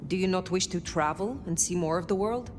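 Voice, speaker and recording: Woman as a low-voiced, stoic vampire wanderer. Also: background noise, lightly acted. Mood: reserved. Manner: formal, deliberate, terse, direct. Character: stoic, blunt ancient vampire wanderer, female. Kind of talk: video game voice acting.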